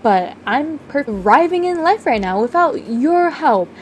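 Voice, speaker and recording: Woman as a high-voiced, brisk grown daughter. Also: sing-song, a little hiss or hum. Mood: hurt, firm. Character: capable, busy grown daughter, a doctor, hurt but forgiving. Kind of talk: amateur voice-acted roleplay drama.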